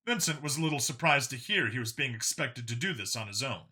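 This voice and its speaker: A man's voice: medium pitch, expressive, a little fast, pushed and bright, slightly rough. A man reading a science fiction story aloud as a dramatic scripted narration. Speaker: narrator, audiobook reader.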